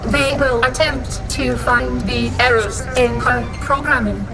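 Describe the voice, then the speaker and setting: A woman playing a calm, courteous robot envoy with formal speech in a video game cutscene. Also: on the high side, measured pace, gravelly, music in the background. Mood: calm, measured.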